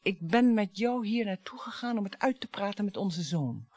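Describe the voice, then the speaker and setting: A woman's voice: mid-range voice, expressive, moderate pace, dry recording, close to an everyday voice. An actress in a radio play playing a bitter, taunting middle-aged wife and mother, sarcastic and dramatic. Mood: combative. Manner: sarcastic, dramatic, swinging between hushed and biting.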